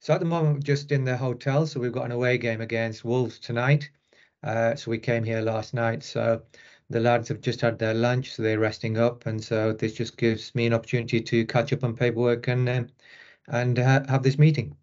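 A man's voice: medium pitch, little pitch movement, normal speed, a little mellow, dull audio. A middle-aged man from Rochdale with a Northern English accent, answering interview questions conversationally. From Rochdale, northern England. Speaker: interview guest, sports medicine consultant.

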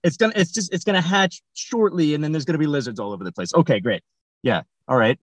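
A man with a slightly high voice hosts a casual comic interview. He responds as a dry, bemused straight man, often hesitating and restarting as he works through what he is told. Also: animated, fast. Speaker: podcast host.

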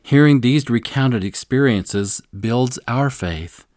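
A man co-teaching a scripture lesson, earnest and measured, slowing down to stress key points and sharing stories from his own life. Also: mid-range voice, darkish tone, no echo, clean studio sound. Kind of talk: devotional teaching with personal stories.

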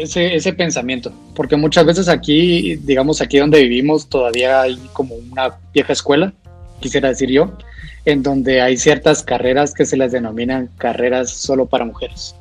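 A Guatemalan man hosts a conversational interview in a slightly husky voice, with music behind him. He talks haltingly, often repeating a word as he shapes his question. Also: medium pitch, poor audio. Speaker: host, nutritionist.

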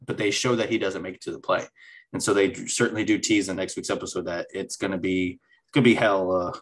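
Low-voiced man rambling through a TV recap. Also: a bit bright, poor audio. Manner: rambling, with many fillers and restarts. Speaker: podcast co-host. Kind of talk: casual TV recap chat.

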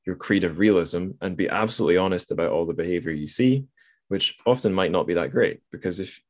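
A man's voice: sits low, a little mellow. A man hosting a podcast, talking casually about literature in a rambling, musing way as he thinks aloud. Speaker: podcast host.

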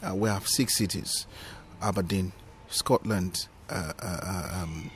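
Nigerian man, lowish voice, animated storyteller. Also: measured pace, a little hiss or hum. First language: Yoruba. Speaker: guest comedian. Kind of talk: podcast interview chat.

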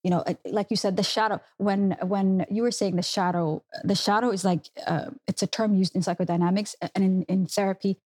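Woman therapist guest, breathy, calm explainer. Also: medium pitch, a little fast, few pauses. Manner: thoughtful, explains terms patiently. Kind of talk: casual conversation.

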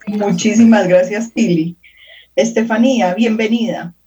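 Woman cohost asking questions, soft dark tone, bit raspy, sounds away from mic. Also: medium pitch, a little slow. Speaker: co-host and professor. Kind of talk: conversational interviewing. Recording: muffled, distant from the mic.

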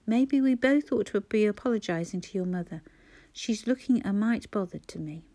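A woman voicing a gruff, blunt older male landowner with a growling voice, in a storybook reading. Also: high voice, lively intonation, normal speed, warm tone. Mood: wry. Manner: gruff, offhand.

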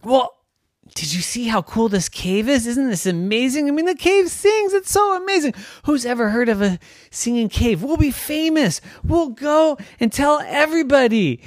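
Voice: mid-range voice, slow pace, no room sound, clean studio sound. A storyteller voicing an adventurous young girl explorer who jumps from boredom to thrilled squeals. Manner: squealing, breathless excitement.

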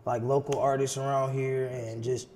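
A relaxed thirty-something Indiana guy you'd hear as the guest on a local rap podcast, chatting in slang in a ringing room. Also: medium pitch, normal speed. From South Bend, Indiana, United States. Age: 30s. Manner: slangy, loose, frequent "you know". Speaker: podcast guest, rapper and music producer. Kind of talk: casual chat. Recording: reverberant room.

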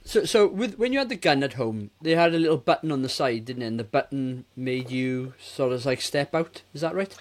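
Casual British man, forties, medium pitch. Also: lively intonation, fairly quick, hardly any pauses. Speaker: film review podcast co-host. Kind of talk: casual chat.

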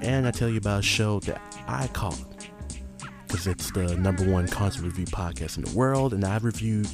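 A man delivers a casual podcast promo, talking straight to the listener as a host does. His pitch is on the lower side of average for everyday speech, and music plays clearly behind him. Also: moderate pace.

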